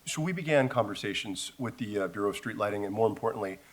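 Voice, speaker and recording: A man's voice: lowish voice, lively intonation, quick pace. A man, a police commander, presenting a report at a public meeting, hesitant with fillers. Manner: plain, hesitant with uhs.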